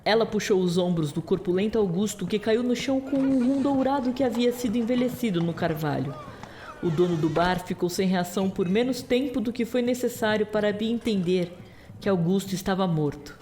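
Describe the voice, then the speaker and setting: A woman's voice: mid-range voice, brisk, warm tone, a little hiss or hum. A woman narrator telling a scripted story in an audio drama.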